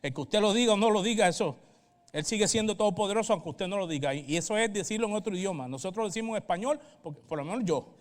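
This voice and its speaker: A man with a high voice and a Puerto Rican accent delivers a sermon as a pastor. He teaches step by step, stopping for brief check-in questions. The sound carries the long reverb of a hall. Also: lively intonation, fast. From Puerto Rico. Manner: didactic, checks in with short questions.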